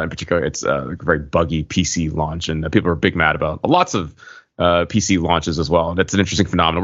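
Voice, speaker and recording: A man's voice: sits low, a bit bright. A man co-hosting a podcast in a casual, conversational chat. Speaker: podcast host. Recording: dull, lo-fi audio.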